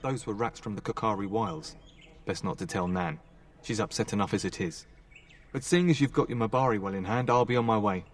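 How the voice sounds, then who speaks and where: A man's voice: fairly low pitch, a little fast, recorded from a distance, lightly acted. A man playing a wry, easygoing young castle knight in fantasy video game acting, placating in manner.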